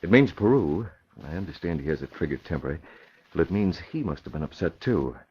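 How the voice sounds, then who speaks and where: An actor playing a shrewd, self-assured newspaper publisher in an old radio crime drama, speaking in articulate, formal phrases. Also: male voice, low voice, lively intonation, fairly quick, slightly rough, full character voice. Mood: pleased, reasoning. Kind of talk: 1940s radio crime acting. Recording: dull, faintly noisy vintage radio recording.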